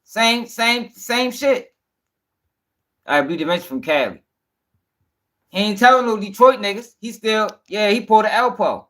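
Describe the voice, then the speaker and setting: Guy w high voice hosting a stream, blunt slangy talk, swears, says stuff twice to make his point. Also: normal speed, long pauses, sharp tone. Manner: blunt, repeats himself for emphasis. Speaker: host. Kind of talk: casual livestream commentary with slang and swearing.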